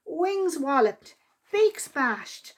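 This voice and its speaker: A woman reading a picture book aloud to young children in an animated, expressive storytime manner. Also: sits high, extremely slow. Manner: expressive, with exclaimed sound effects and asides to listeners. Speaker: library storytime reader.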